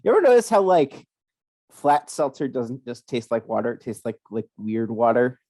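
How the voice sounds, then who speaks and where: A young man co-hosting a casual chat, rambling and halting with many restarts, still sniffly from a cold. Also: medium pitch, very animated, warm tone, dry recording, studio quality. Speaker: podcast co-host.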